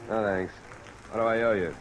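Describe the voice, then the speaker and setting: An actor playing a tough, cool-headed insurance investigator in a 1950s radio detective drama, speaking in a terse, dry way. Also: male voice, fairly low pitch, a little fast, lightly acted. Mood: casual probing. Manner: terse, dry, hard-boiled. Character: tough, cool-headed male insurance investigator who narrates his case. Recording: old radio recording, steady rain noise. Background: rain sounds.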